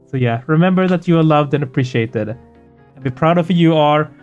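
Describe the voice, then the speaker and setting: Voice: mid-range voice, normal speed, a little mellow, lo-fi sound, faint music behind. A gaming video host chatting casually and effusively, earnest and prone to swearing. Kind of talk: casual excited chat after a game playthrough.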